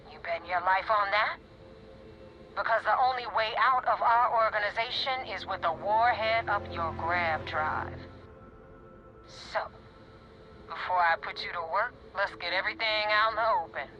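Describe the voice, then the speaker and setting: A woman's voice: mid-range voice, normal speed, bright, forceful tone, muffled recording, faint music behind, natural voice. An actress playing a ruthless, tough female space pirate boss in a video game, with a menacing, commanding and sardonic manner. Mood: threatening. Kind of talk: video game dialogue acting.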